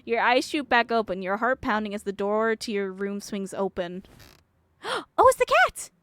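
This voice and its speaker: A woman streamer reading a game aloud and reacting to it in an expressive, emotional way. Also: mid-range voice, moderate pace, dry recording.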